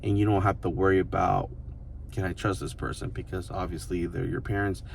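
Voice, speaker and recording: A man's voice: deep voice, flat delivery, brisk, gravelly, a little hiss or hum. A man in his mid-thirties talking to his viewers in a loose, rambling, reflective way, full of fillers. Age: 30s. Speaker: vlogger. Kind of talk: casual reflective monologue to the camera.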